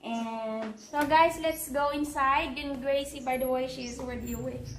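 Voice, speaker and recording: A woman with a Filipino accent and a slightly hoarse voice, chatting haltingly as she gives a casual house tour. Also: sits high, fairly even intonation. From Philippines. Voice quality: hoarse, with throat clearing. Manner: halting, chatty, repeats phrases. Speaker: vlogger giving a house tour. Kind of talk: casual vlog narration. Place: walking around a house, patio and indoors. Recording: roomy echo, distant mic, background noise.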